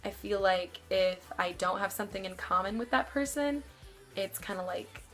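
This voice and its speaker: A young woman rambles through casual advice straight to camera, her voice somewhat deep for her age. She fills every gap with easy filler words, never fully settling on a point. Faint music plays softly underneath. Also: moderate pace. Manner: chatty, rambling, many fillers. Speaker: vlogger.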